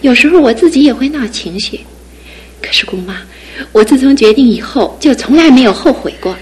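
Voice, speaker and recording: A soft-spoken young wife. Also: female voice, high-pitched, lively intonation, a little fast, breathy edge. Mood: earnest. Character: young wife, cheerful and resilient. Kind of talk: radio drama acting.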